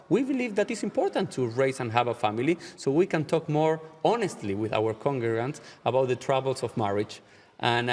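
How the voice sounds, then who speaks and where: Man, Argentine Spanish accent, rabbi, measured and reflective, mid-pitched voice, lingering hall reverb. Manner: measured, slows down to stress key ideas, frequent "uh" and "okay?". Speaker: rabbi, guest. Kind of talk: reflective interview answers.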